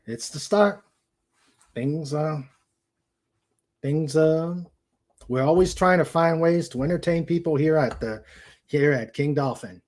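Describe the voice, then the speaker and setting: An older man hosting a casual livestream, greeting viewers in a halting way and often trailing off mid-sentence. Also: fairly high pitch, darkish tone. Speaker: livestream show host.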